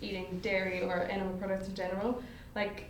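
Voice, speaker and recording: A woman's voice: mid-range voice, flat delivery, brisk, recorded from a distance. Young woman hosting a student podcast. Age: young adult. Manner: chatty, many fillers. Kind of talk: casual chat. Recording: echoey room, voice from afar, faint noise.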